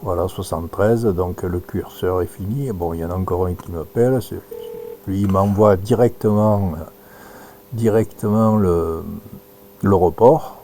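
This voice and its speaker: Male demonstrator, dark low voice, halting think-aloud commentary, slight hum behind. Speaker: presenter demonstrating amateur radio software. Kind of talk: informal live demonstration commentary.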